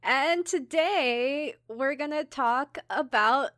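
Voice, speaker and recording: A woman's voice: high-pitched, takes it slowly, fairly bright tone. A woman co-hosting a podcast, chatting casually in an apologetic, giggly and hedging manner. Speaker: podcast co-host. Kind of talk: casual chat about anime.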